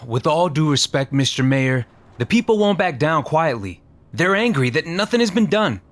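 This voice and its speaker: An actor playing an earnest, deferential but firm police chief in an audio drama, speaking in a measured, respectful way. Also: male voice, fairly high pitch, big pitch swings, a bit bright. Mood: cautious.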